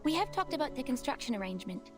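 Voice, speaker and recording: A brisk, reassuring game character talks quickly in a high voice. She sounds slightly distant, with clear game music behind her. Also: sing-song. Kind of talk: game voice acting.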